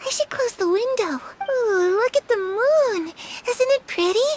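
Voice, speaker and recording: A woman's voice: extremely high pitch, expressive, a little slow, slight background noise. A woman playing a young, rebellious, wounded girl in a video game, speaking in an earnest, wistful way. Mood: wistful, lonely. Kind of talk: scripted video game acting.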